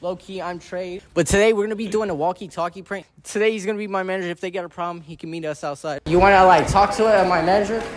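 A man's voice: high voice, fairly even intonation, quick pace, few pauses, dry recording. A young man hosting a prank vlog in casual, slangy chat, recorded on handheld camera audio. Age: young adult. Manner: slangy, informal. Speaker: prank vlogger and host. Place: big store and outdoor parking lot. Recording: noisy handheld camera audio in store and parking lot.

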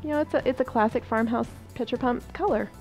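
Higher-pitched woman chatting casually. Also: fast, darkish tone, faint music behind. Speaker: homesteading host. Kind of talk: casual vlog chat. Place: home kitchen.

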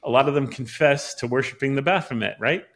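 Guy hosting a podcast, earnestly explaining stuff, average pitched voice. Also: a little fast, fairly bright tone. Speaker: podcast host. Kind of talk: casual podcast discussion.

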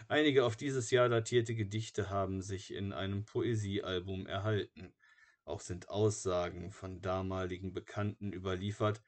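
A man narrating a biography from a script in a measured, matter-of-fact way, like an audiobook. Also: low-pitched.

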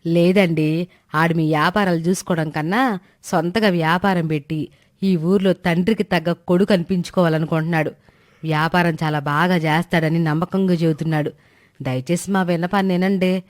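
A woman voicing a stern but soft-hearted older village mother in a moral story, scolding in colloquial rustic speech. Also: on the low side, steady tone, moderate pace, voice pushed down, lightly acted. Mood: pleading. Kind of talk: animated moral story dialogue.

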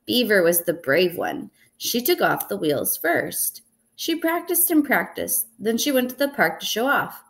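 A young woman reading a children's picture book aloud in an expressive, deliberate way, recorded indoors at home. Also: mid-range voice. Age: young adult. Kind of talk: storybook read-aloud. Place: indoors in a small room at home.

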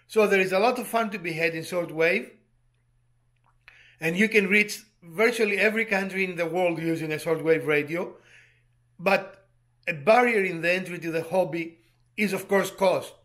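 A man in his fifties with a fairly high-pitched voice presents a hobby tutorial. He explains things step by step in a measured, halting way, often repeating a word before going on. He is recorded at about arm's length in a room at home. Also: fairly bright tone. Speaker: presenter of a DIY hobby channel. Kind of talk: explanatory tutorial talk to camera.

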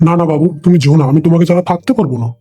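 A man playing a lecherous, foul-mouthed older father in a comic cartoon, talking in a crude, hurried way. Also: a little high, dark tone, lightly acted. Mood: pleading. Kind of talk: comic cartoon dialogue in colloquial Bengali. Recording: music behind the voice.

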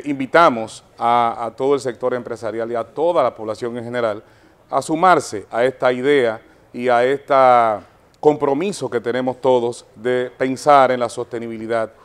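Dominican male spokesman, 40s, calm measured delivery with hesitations, average pitch. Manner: measured, soft-spoken, with fillers. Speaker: chamber of commerce representative. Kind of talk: formal guest interview. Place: TV studio set. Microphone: lapel mic.

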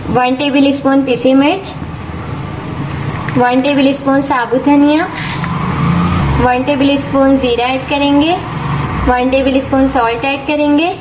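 Female home cook for recipe voice-over, high voice, roomy echo. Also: level tone, a little slow, long pauses, background noise. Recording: echoey room, music underneath. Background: background music.

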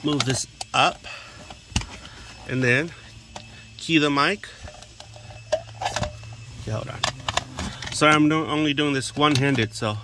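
A man giving a casual hands-on how-to, talking plainly in short, halting steps as he works. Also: a little high, background noise. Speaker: DIY radio hobbyist demonstrator. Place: in and beside a parked vehicle outdoors. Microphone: handheld phone, one-handed filming.

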